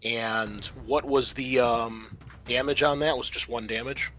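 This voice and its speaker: A man's voice: mid-range voice, steady tone, a little slow, fairly bright tone, a little hiss or hum. A man running a tabletop role-playing game, narrating the action theatrically and casually. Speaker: game master. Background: music.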